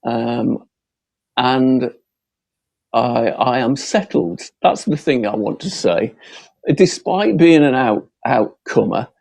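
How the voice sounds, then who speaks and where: A man from Bolton with a northern Lancashire accent, giving a halting, earnest closing speech as a local candidate, often repeating words. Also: medium pitch, expressive, gravelly, darkish tone, dry recording. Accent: northern English, Lancashire. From Bolton, Lancashire, England.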